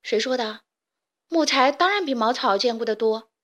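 A woman voicing the middle of three little pigs, a stubborn, eager piglet who talks quickly. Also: a little high, lively intonation, a bit bright.

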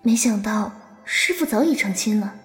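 An actress playing a gentle, patient and doting young swordswoman in a Chinese martial-arts audio drama, speaking softly and with composure. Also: medium pitch, lively intonation, normal speed, slightly rough, faint music behind. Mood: fond, patient.

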